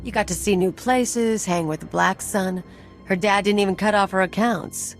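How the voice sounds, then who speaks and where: A woman's voice: medium pitch, steady tone, normal speed, soft background music, natural voice. A woman acting a tough, sardonic female mercenary in a video game, blunt and nonchalant. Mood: cocky.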